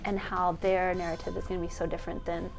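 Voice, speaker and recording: A young adult woman, brisk and earnest, talking in a documentary interview. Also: on the low side, level tone, gravelly, music in the background, rough recording.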